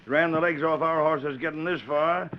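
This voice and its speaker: An actor playing a hard-driving, gruff frontier sheriff commanding a posse in a 1940s radio western. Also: male voice, on the high side, steady tone, moderate pace, close to an everyday voice. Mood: grim. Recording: dull noisy 1940s radio recording.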